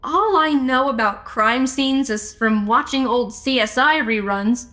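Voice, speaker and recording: A woman playing a brisk, level-headed, matter-of-fact amateur investigator in a comedy audio drama. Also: fairly high pitch, bright, forceful tone. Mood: focused.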